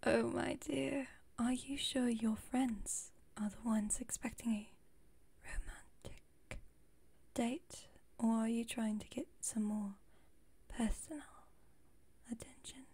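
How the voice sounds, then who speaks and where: A woman playing a haughty yet protective, playful female vampire in a soft, whispered ASMR roleplay, with formal, wry, teasing speech. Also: on the high side, steady tone, slow pace, husky, exaggerated voice. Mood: amused, flirty.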